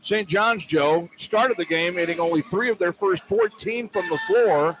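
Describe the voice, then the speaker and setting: Male radio play-by-play announcer, fairly high voice, live basketball call, clear arena noise behind. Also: measured pace, a bit bright, low-quality recording.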